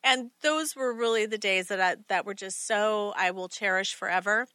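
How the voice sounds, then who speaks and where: A woman's voice: medium pitch, moderate pace, bright, forceful tone, clean tone, no room sound, clean studio sound. A woman co-hosting a casual chat, reacting playfully and recalling her own past. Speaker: co-host.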